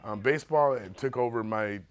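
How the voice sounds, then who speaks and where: A middle-aged man who paints shoes, talking about his work in a documentary interview in a relaxed, rambling way with many fillers. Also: medium pitch, a little fast. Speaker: shoe painter.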